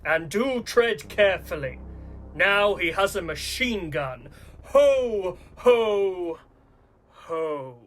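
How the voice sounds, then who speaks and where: An actor playing a courteous but menacing male villain who bosses elves in a radio play, grandiose, formal and measured. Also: high voice, lively intonation, soft background music, full character voice.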